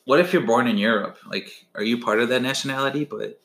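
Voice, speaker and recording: A young man from the United States in casual chat as a podcast co-host, curious and questioning, quick to laugh. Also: mid-range voice, fairly bright tone. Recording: roomy echo.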